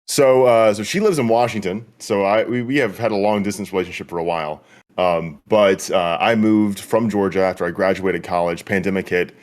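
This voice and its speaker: A man's voice: low-pitched, fairly even intonation, fairly quick. A young man talking casually as a guest, easygoing and chatty. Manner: easygoing, chatty with light laughs. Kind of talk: casual chat.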